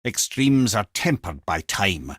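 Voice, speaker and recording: A clever old Scottish storyteller, the talking head of a wise man in a fantasy game. The delivery is theatrical and folksy, with wry humor and pitch that swings widely. The sound is dry, with no room around the voice. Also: sing-song, slow, a bit bright, no echo, exaggerated voice. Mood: wry, musing. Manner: wry, theatrical, folksy, addresses listeners as brother and lad. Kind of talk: expressive video game character acting, storytelling and banter.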